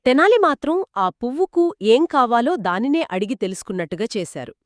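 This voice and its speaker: High, animated woman co-host. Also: fairly quick, no room sound, studio quality. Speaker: podcast co-host. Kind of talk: conversational story discussion.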